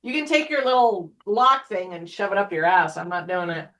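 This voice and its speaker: A woman's voice: medium pitch, moderate pace, fairly bright tone. A woman chatting casually, cutting in with blunt, salty-tongued remarks. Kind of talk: casual chat, interjecting.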